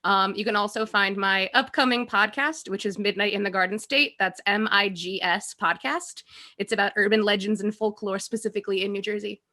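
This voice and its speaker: A woman's voice: medium pitch, steady tone, a little fast, pushed and bright. A woman speaking casually as a participant in a group chat. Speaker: participant and podcaster.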